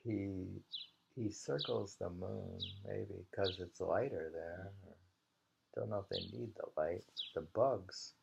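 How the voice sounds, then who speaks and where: Low, slow, gravelly man musing over poetry. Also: noisy background. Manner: halting, musing, repeats lines slowly. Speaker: reader of a poetry anthology. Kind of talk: unhurried poetry reading with informal commentary. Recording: dull lo-fi home recording.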